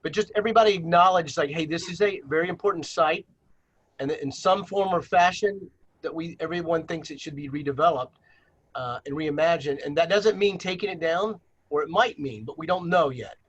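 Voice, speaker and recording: A man's voice: fairly high pitch, a little fast, a bit bright, breathy edge, lo-fi sound. A man leading a virtual planning workshop on a video call, presenting slides with many fillers and restarts.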